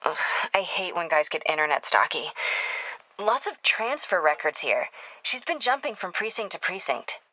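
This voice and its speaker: An actress playing a sharp, determined young American investigative reporter in a video game, speaking in a wry, brisk, conversational way as if on the phone. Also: female voice, on the low side, very animated, dry recording, exaggerated voice, rough recording. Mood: intent, conversational. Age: young adult.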